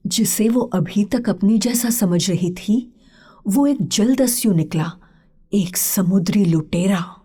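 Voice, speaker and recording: A woman narrating a literary story as a scripted storyteller, in a husky, breathy voice. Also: medium pitch, warm tone.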